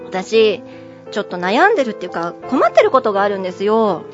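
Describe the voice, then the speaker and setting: A woman's voice: mid-range voice, very animated, quick pace. A woman guest on a radio show in casual, playful chat, agreeable and attentive. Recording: muffled audio with music.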